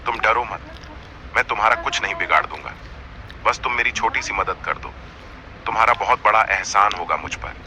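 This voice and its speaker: A man's voice: on the low side, normal speed, long pauses, pushed and bright, slight background noise, pitched below the performer's own voice. A man voicing a proud lion king of the jungle, by turns imploring and imperious, in a cartoon fable. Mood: coaxing.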